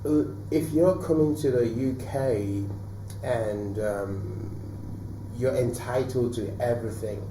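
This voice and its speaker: A man from Nigeria, a guest on a talk show, telling stories in a relaxed, reflective way with many fillers. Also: medium pitch, slow. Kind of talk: interview answers. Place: TV studio. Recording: roomy echo, mic at a distance, faint noise.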